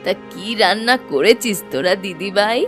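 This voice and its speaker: A woman's voice: mid-range voice, lively intonation, moderate pace, fairly bright tone, faint music behind, close to an everyday voice. A woman voicing a weary, kindly old village grandmother in a cartoon. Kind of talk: cartoon voice acting.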